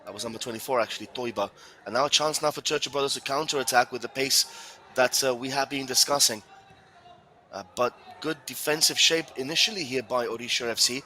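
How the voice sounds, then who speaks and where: Chatty football commentator from Gibraltar. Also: male voice, mid-range voice, a little fast, pushed and bright, a little hiss or hum. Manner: chatty, reads viewer questions aloud. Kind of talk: live play-by-play commentary.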